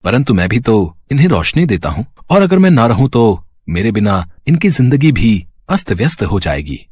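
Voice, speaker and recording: A sulky moon god of a cartoon fable, acted by a man, speaks in a low, husky voice. He sounds solemn and wounded, recorded close and dry. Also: very animated, normal speed, a little mellow, no echo. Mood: hurt, sulky. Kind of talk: animated moral story acting.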